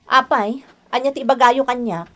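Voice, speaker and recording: A woman's voice: fairly high pitch, fairly even intonation, normal speed, lightly acted. A woman playing an aggrieved, defensive young wife and stepmother in a radio drama, with a plaintive, emotional delivery. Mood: indignant. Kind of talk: Ilocano radio drama acting.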